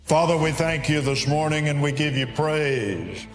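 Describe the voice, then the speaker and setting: A man's voice: fairly high pitch, lively intonation, a little slow. A man leading a public prayer in a formal, declarative manner. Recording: roomy hall echo, music behind. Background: music.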